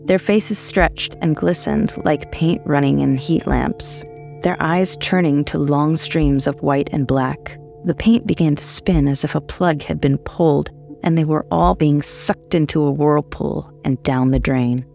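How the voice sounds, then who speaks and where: A woman's voice: sits low, steady tone, measured pace, gravelly, mellow, soft background music. A woman reading a horror short story as scripted narration.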